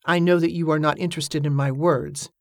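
A woman voicing a determined widowed mother who speaks in a steady, formal way. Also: deep voice, fairly quick, dry recording, clean studio sound, lightly acted. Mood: resolute.

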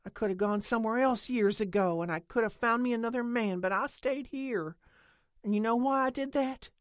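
A woman voicing a stubborn, excitable rural woman who talks in country dialect and rambles defensively. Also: medium pitch, unhurried, dry recording, clean studio sound, voice pushed up, natural voice. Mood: defiant.

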